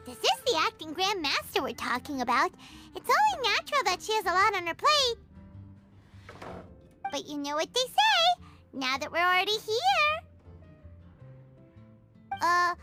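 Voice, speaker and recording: A woman's voice: very high-pitched, wildly swooping pitch, moderate pace, full character voice. A woman voicing a small, childlike, bubbly fairy companion in a video game. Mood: reassuring. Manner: perky, bubbly, familiar. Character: small high-pitched childlike fairy companion, chatty and earnest. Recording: loud background music.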